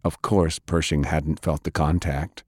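A man narrating dramatic fiction as an audiobook reader, with a grave, deliberate delivery. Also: rumbling low, level tone, darkish tone, dry recording, clean studio sound.

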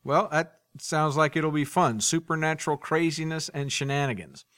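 A man with a slightly high voice hosts a podcast in a very dry, close recording. He moves between interview questions and scripted presenting. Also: normal speed, a bit bright, clear, resonant tone, no echo. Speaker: podcast host.

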